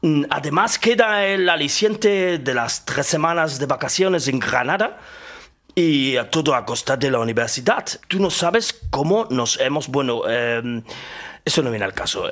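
Guy doing a rambly german academic, keeps stalling with fillers, voice sits a bit high. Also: a bit bright, full character voice. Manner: hesitant, full of filler sounds. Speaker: interview guest.

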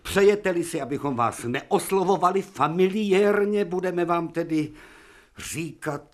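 An actor playing an irritable, proud elderly professor who speaks in an indignant, lordly way in a radio play. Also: male voice, high-pitched, lightly acted. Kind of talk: radio drama acting.

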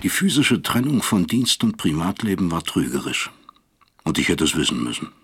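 An actor playing a middle-aged, guilt-ridden professor who narrates a confessional radio drama monologue in a deliberate, brooding manner. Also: male voice, sits low, sing-song, fairly bright tone, husky, exaggerated voice. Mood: rueful, reflective. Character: bitter, guilt-ridden East German professor looking back.

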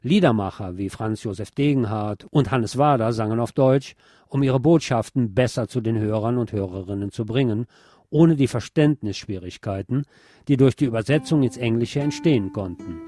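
Mellow male presenter reading a scripted essay. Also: medium pitch, no room sound, studio quality. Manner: measured, articulate, academic. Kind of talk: scripted essayistic narration on music history.

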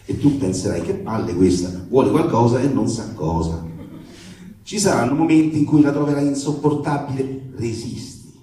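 A man acting a protective, bitterly comic father who advises his daughter's young boyfriend in a theatrical monologue, halting and emphatic, moving between tenderness and sarcasm. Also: mid-range voice, warm tone, a little hiss or hum, close to an everyday voice. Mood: wry, sardonic. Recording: very echoey room, distant microphone.

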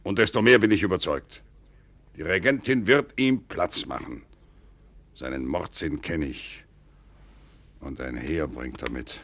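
An actor playing a grave, shrewd, wary prince in a classical German radio drama, with deliberate, weighty declamation. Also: male voice, extremely low pitch, a bit bright, no room sound, full character voice. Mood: grim, certain.

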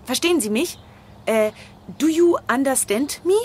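A woman's voice: high voice, lively intonation, normal speed. An actress playing a chatty, eager young wife in a postwar radio drama, playful and prodding as she talks.

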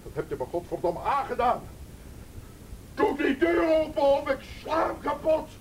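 Menacing, high-pitched desperate man. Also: very animated, moderate pace. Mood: angry, shouting. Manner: coarse, commanding, swinging between threats and pleading. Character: menacing, desperate man bullying and pleading with his partner. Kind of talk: Dutch radio play acting. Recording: noisy radio play recording with rumble.

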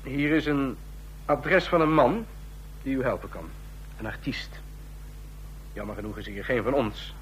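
An actor in a radio play playing a stern, condescending ambassador of a fascist state, speaking in formal, measured officialese. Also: male voice, mid-range voice, close to an everyday voice, low-quality recording. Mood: businesslike, commanding. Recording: clear hum and noise, old radio recording.

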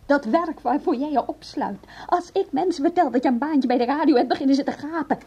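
A woman's voice: high voice, brisk, mellow, highs cut off, lightly acted. An actress playing a bitter, frustrated wife who mockingly taunts her husband, in a theatrical Dutch radio play style. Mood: scornful. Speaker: radio drama actor.

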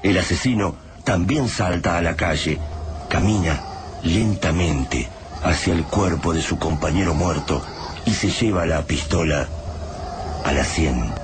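An actor playing a grave chronicler, narrating an apocalyptic radio drama in a scripted, dramatic style with an Argentine accent. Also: male voice, deep voice, measured pace. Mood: grave, tense. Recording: narration over loud noisy soundscape.

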